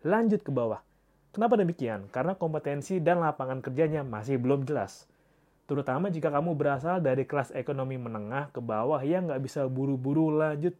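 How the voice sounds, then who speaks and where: A man's voice: medium pitch, darkish tone. A man from with a stuffy, congested nose, hosting a casual solo podcast and reading posts aloud in a loose, slangy way. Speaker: podcast host.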